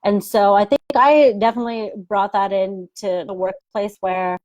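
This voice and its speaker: A woman's voice: medium pitch, fairly even intonation, normal speed, a little mellow, no room sound, dull audio. A woman on a discussion panel, talking casually with lots of fillers and small laughs. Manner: chatty, trailing fillers, laughs between thoughts. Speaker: panel member.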